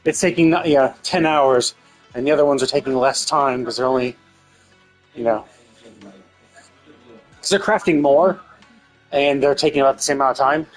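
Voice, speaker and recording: A man's voice: medium pitch, normal speed, pauses often, soft background music, low-quality recording. A man doing casual live game commentary, thinking aloud in a rambling way and swearing casually.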